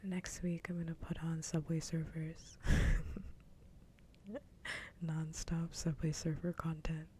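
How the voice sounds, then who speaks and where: A woman streaming ASMR, chatting casually in a soft, breathy, hushed whisper close to the microphone. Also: low-pitched, monotone, takes it slowly, long pauses, slight background noise. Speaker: ASMR livestreamer host. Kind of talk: ASMR casual chat with viewers.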